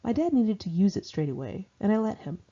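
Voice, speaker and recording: A woman's voice: medium pitch, very animated, a little fast, dark tone, slightly rough, muffled recording. An American woman reads her own memoir in a conversational, candid, wry and slangy way. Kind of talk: conversational first-person memoir narration.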